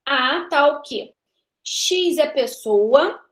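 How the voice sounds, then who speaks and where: Woman in her thirties, high voice, university lecturer, chatty classroom lecture, checks in with students. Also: lively intonation, drawn-out words. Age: 30s. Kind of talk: live classroom lecture on discrete math.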